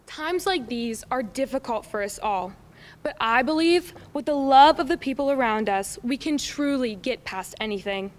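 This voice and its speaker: A woman's voice: high-pitched, lively intonation, moderate pace. A seventeen-year-old young woman with an American accent, giving a prepared commencement speech from a podium in a school auditorium. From United States. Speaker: graduating student speaker. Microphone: podium microphone. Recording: long hall reverb.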